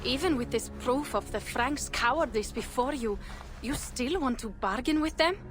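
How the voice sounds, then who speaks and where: A loyal Viking clanswoman in a video game. Her voice is fairly high, blunt and resolute, with strong music underneath. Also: expressive, normal speed. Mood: firm, regretful. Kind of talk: video game dialogue acting.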